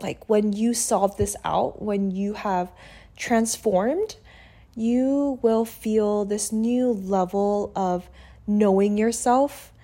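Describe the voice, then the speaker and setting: Young woman hosting her own podcast, native Korean speaker; relaxed, open, chatty delivery. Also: mid-range voice, slow pace. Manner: casual, candid, many fillers and "like". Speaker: podcast host. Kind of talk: solo reflective podcast monologue.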